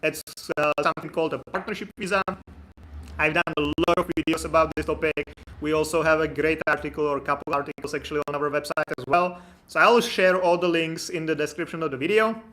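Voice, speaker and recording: A man's voice: a little high, fairly even intonation, brisk, fairly bright tone, low-quality recording. A man with a Czech accent, a Czech native speaker, talking casually on a live stream, chatty and joking with viewers. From Czech Republic. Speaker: immigration consultant hosting a live stream.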